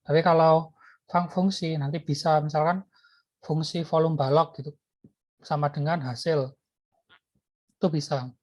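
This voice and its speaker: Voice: mid-range voice, fairly even intonation, measured pace, pauses often, darkish tone, no echo. A lecturer explaining programming while typing code, thinking aloud with many fillers and restarts. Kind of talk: live coding lecture.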